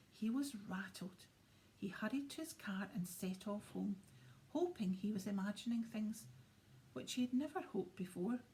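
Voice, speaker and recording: A woman narrating a storybook aloud in a soft, often whispered voice. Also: medium pitch, a little hiss or hum, rough recording. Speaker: audiobook narrator. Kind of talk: storybook reading.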